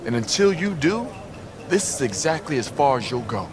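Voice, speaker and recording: An actor playing an earnest, preachy young pro basketball star with an American accent in a video game. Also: male voice, on the high side, sing-song, normal speed, background noise. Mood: stern, lecturing. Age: young adult. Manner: lecturing, earnest.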